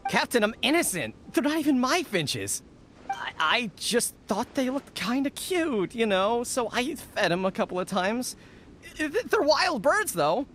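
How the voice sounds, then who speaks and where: Whiny, sheepish villager man, very animated. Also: very high voice, measured pace, faint music behind, heavily acted. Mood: defensive. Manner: hesitant, whiny excuse-making. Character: sheepish, evasive villager pleading innocence. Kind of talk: video game voice acting.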